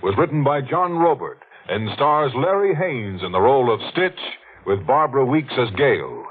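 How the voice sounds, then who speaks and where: A man's voice: medium pitch, big pitch swings, normal speed, noisy background. A man announcing a 1940s radio mystery program in a formal, theatrical manner. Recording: muffled old radio, faint music.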